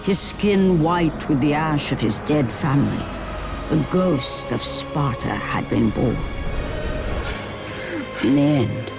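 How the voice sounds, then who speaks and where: A grave storyteller of ancient war legend for a game. The delivery is slow and deliberate, in a fairly high male voice, with clear dramatic music behind it. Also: expressive, heavily acted. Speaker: narrator. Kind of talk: solemn epic scripted narration.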